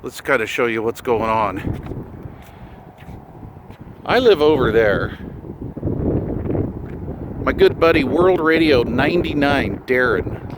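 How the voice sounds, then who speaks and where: A middle-aged man chatting casually off the cuff in a laid-back, folksy, slangy way, as a hobbyist vlogger. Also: mid-range voice. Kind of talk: casual off-the-cuff outdoor vlog and radio chat. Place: outdoors on a hillside road by his vehicle. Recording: windy outdoor noise with vehicle sounds.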